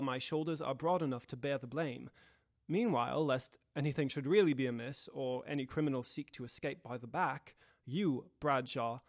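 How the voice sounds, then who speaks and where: A young man playing a grave, dutiful Victorian gentleman lawyer in an audio drama, with a formal and deliberate delivery. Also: medium pitch, dry recording, clean studio sound, lightly acted. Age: young adult.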